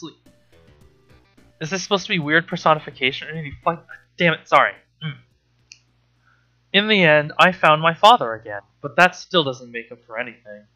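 A man's voice: on the high side, pushed and bright, muffled recording. A man reading aloud and commenting off the cuff as a host, sarcastic and incredulous, with exasperated, profane asides.

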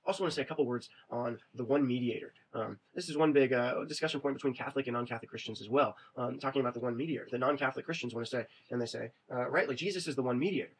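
A man in his thirties giving a recorded webcam lecture on religion, talking quickly and conversationally and often checking in with "right?". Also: mid-range voice, breathy edge, poor audio. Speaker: religion teacher. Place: small indoor room. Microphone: webcam at desk.

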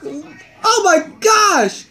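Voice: very high-pitched, expressive, drawn-out words, bright, forceful tone, heavily acted. A performer voicing a bossy, easily outraged cartoon hedgehog dad in an improvised skit, scolding in repeated exclamations.

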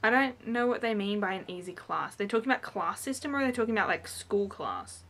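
A woman's voice: medium pitch, lively intonation, fairly quick, fairly bright tone. A young woman from New South Wales with a broad Australian accent, chatting casually to a camera in a small room and rambling with lots of ums and likes. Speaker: vlogger. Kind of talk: casual vlog chat. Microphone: camera in front, head and shoulders framing.

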